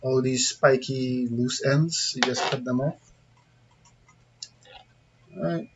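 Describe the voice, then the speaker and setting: A man's voice: medium pitch, fairly even intonation, slow. Trinidadian man, muffled lo-fi recording. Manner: conversational, plain-spoken, checks in with viewers. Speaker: CCTV installer giving a tutorial. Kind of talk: informal hands-on demonstration, talking through steps. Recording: dull lo-fi sound with background noise.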